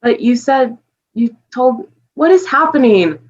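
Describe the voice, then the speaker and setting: A woman's voice: a little high, lively intonation, measured pace, breathy edge, dull audio. A woman playing a bewildered, skeptical man in a staged comedy reading, speaking bluntly and with incredulity. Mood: incredulous. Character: exasperated, skeptical young man, bewildered listener William.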